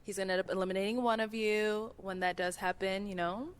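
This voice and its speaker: A woman hosting a blind-date show, cheerful and quick to laugh as she explains the rounds. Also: mid-range voice, steady tone, smooth. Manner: upbeat, laughs often. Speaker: show host.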